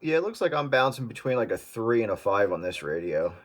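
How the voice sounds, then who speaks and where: A man calling in on a casual radio chat, relaxed and hesitant, with many fillers. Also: fairly low pitch, brisk, very noisy. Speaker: radio caller.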